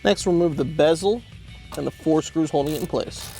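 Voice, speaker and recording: A man presenting an instructional product demonstration as a tutorial host, explaining things clearly step by step. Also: a little high, moderate pace, mellow, soft background music. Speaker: host of car parts installation tutorial.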